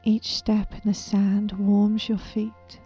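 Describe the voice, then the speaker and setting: A woman with a soft, breathy, whispery voice gently and soothingly guiding a meditation in a deliberate manner. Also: medium pitch, level tone, soft background music. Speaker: meditation guide. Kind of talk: guided meditation, soft half-whispered narration.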